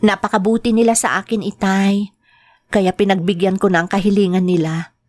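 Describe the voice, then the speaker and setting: A woman playing a grieving mother working abroad, speaking with slow, heartfelt dramatic delivery. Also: mid-range voice, steady tone, a little mellow, no echo, close to an everyday voice. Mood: earnest. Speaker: overseas domestic worker. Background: faint guitar music.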